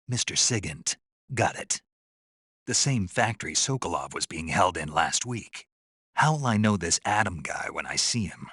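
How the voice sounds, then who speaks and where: A gruff special forces operative from a video game. His voice is deep and husky, rising and dipping widely even as he stays terse and deadpan. He comes across as stoic with a dryly naive streak, captured close and dry. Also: no room sound, heavily acted. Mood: businesslike. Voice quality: gruff, raspy.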